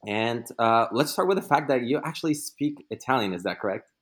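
A man's voice: medium pitch, lively intonation, a little fast, husky. A young man hosting a casual podcast interview, asking loose questions with fillers. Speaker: podcast host.

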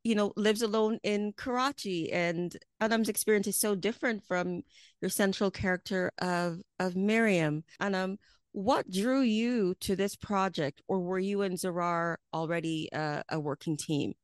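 A woman hosting a broadcast interview, asking measured, formal questions. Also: medium pitch, smooth, no room sound, studio quality.

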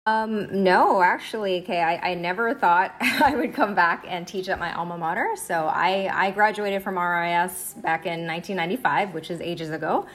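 A woman in her forties, a high school science teacher, telling her story in a casual interview with many fillers and easy laughs. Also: normal speed, hardly any pauses, fairly bright tone. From United States. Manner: chatty, many fillers and "okay"s, laughs easily.

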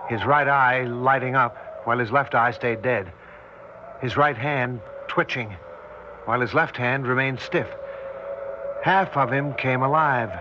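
A man's voice: medium pitch, close to an everyday voice. Troubled scientist played by a man, mid-range voice, dramatic and reflective, muffled noisy vintage radio play. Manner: dramatic, reflective, clipped phrases. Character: troubled male electronics scientist narrating his strange ordeal. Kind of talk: 1960s radio drama narration and dialogue.

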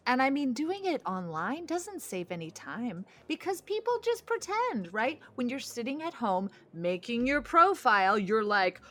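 An actress playing a candid, neurotic single woman in naturalistic audio drama, expressive and opinionated. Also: on the high side, unhurried, hardly any pauses. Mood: candid, wry.

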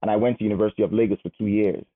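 A man's voice: on the low side, racing. Man telling stories, phone-thin. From Nigeria. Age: 30s. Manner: animated, repeats words as he goes. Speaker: guest. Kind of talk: casual interview storytelling. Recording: phone-like narrow sound.

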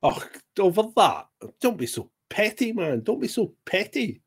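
Guy, husky voice, casual podcast banter, laughs a lot. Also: high-pitched, very animated, moderate pace. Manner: swears casually and laughs often. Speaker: podcast co-host. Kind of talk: casual chat.

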